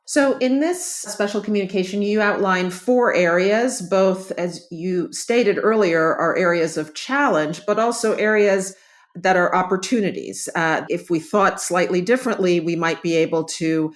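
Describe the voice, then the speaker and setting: A woman hosting a professional interview, speaking in a measured, articulate way as she sums up and frames questions. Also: on the low side. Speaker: interviewer, journal editor host.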